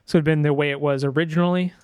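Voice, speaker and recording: A man's voice: a little high, steady tone, very fast, very noisy. A man giving a casual screen-share tutorial as a sound designer, thinking aloud informally and correcting himself as he goes.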